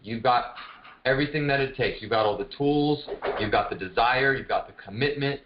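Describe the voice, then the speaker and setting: A man's voice: mid-range voice, brisk, background noise. A man speaking as a fitness coach hosting a group call, chatting casually in an informal, encouraging way over lo-fi call audio. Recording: dull, lo-fi conference call audio. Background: no music, voice on a group phone call.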